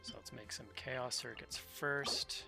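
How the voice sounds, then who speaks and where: Laid-back male streamer, mid-pitched, loud game music playing behind him. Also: takes it slowly, gravelly. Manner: relaxed, easygoing, chatting with viewers. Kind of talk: casual gameplay commentary.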